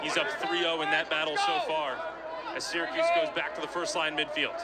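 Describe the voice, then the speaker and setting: A young male sports announcer with a fairly high voice calls live play in short, clipped phrases. He describes each move as it happens, over heavy crowd and venue noise. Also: sing-song, a little fast. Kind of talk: live game commentary. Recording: loud crowd and arena noise.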